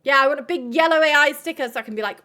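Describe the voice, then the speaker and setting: Woman streamer just yapping to chat, bright crisp voice, lots of likes and f-words. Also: sits high, very fast. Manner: chatty, lots of fillers, swears freely. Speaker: livestream host. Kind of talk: casual chat with viewers.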